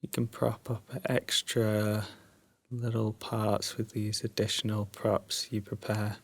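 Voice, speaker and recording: A man guiding a sleep meditation in a soft, drowsy, soothing voice with long pauses, at times breathy and close to a whisper. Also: lowish voice, little pitch movement. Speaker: meditation guide. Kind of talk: guided sleep meditation, Yoga Nidra.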